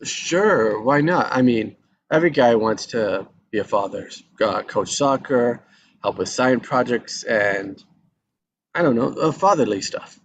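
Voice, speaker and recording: Man playing a gravelly, wry husband. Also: medium pitch, expressive, a little slow. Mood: noncommittal. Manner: dry, noncommittal, wry. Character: wry, hesitant yuppie husband. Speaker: actor in a live table read. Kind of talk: screenplay table read. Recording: dull mic with faint noise.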